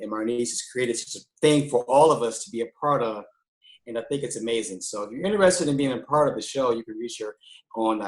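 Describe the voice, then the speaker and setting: A man's voice: medium pitch, echoey room, rough recording. A man guest-hosting a live online talk show, upbeat and loose, with many fillers. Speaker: guest host.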